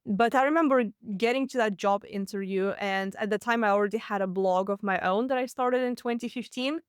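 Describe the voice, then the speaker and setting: A woman's voice: medium pitch, smooth, dry recording, studio quality. A woman in her late twenties from Latvia with a Russian accent, hosting a solo business podcast and telling her own story candidly, with frequent laughs. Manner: candid storytelling, quick and chatty, laughs often. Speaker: podcast host.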